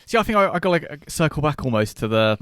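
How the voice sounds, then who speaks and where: A man's voice: on the high side, sing-song, quick pace, fairly bright tone, smooth, no room sound. A man co-hosting a podcast, talking informally and thinking aloud as he hedges his points in casual discussion. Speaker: podcast co-host.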